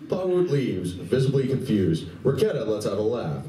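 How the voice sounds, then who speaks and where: A man's voice: mid-range voice, very animated, normal speed, noisy background. A man reading scripted narration for a live radio play in a convention room. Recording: distant, echoey convention room.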